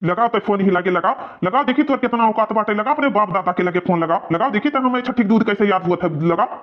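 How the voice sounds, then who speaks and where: A man's voice: sits high, fast, natural voice. A man playing an aggrieved young village farmer in an Awadhi cartoon comedy, with an Awadhi accent and a whining, argumentative manner. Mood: angry, quarrelsome. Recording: dull, lo-fi cartoon audio.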